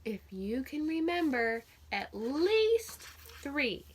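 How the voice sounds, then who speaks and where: A woman's voice: high voice, big pitch swings, slow. A woman teaching young children through a read-aloud, telling the story expressively and putting simple, encouraging questions to her listeners.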